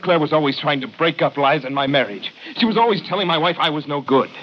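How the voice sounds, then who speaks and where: An actor playing a defensive, agitated husband under police suspicion in a vintage radio crime drama, emphatic and melodramatic. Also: male voice, on the high side, lively intonation, fast talker, close to an everyday voice. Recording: dull, noisy old radio recording.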